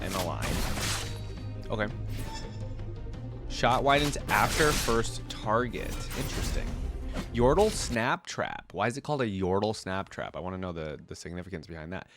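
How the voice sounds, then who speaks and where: Guy w a lowish stuffy head-cold voice doing casual gaming reaction, kinda thinking out loud, bit of music behind. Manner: loose, conversational, thinking aloud. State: congested from a cold. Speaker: YouTube reactor and host.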